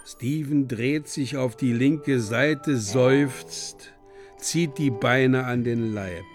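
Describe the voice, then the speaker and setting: A grave narrator of a literary radio drama. He sets out dreamlike stage directions slowly and with measured care, at a middle pitch, over music. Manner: slow, deliberate, measured.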